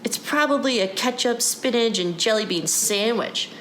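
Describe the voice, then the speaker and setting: A woman's voice: fairly high pitch, moderate pace, a bit bright, lightly acted. A woman voicing a disgusted, teasing young schoolboy in a storybook, blunt and scornful. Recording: roomy echo, background noise.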